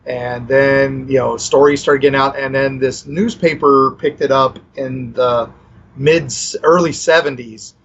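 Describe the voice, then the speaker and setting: Male podcast co-host, mid-pitched, easygoing rambler with lots of fillers, slightly muffled audio. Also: fairly even intonation, measured pace, vocal fry, fairly bright tone, husky. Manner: rambling, many fillers and restarts. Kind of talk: casual storytelling chat.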